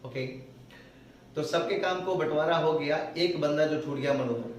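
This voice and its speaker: Male teacher with a Hindi accent, slightly high voice, recorded from far off in an echoey room. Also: a little hiss or hum. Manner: explains slowly, repeats phrases word by word. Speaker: spoken English teacher. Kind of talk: classroom grammar lesson.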